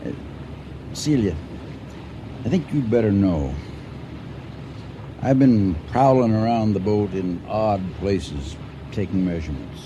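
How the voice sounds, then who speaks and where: An actor playing a wry, skeptical older farmer husband with an American accent, dry and understated in old-time radio drama style. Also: male voice, on the low side, expressive, slow, pauses often, warm tone, dull audio. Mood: grave, measured. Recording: noisy old radio broadcast recording.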